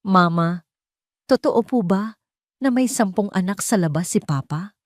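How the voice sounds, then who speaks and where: A woman's voice: medium pitch, lively intonation, a little slow, dry recording, clean studio sound, natural voice. A woman voicing an anxious young child who asks polite questions.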